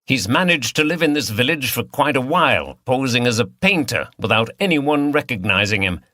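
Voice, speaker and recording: A man voicing an authoritative, brisk police detective in charge in a mystery audiobook. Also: medium pitch, expressive, bright, forceful tone, no room sound, clean studio sound, voice pushed up. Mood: grave, explaining. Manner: authoritative, explanatory.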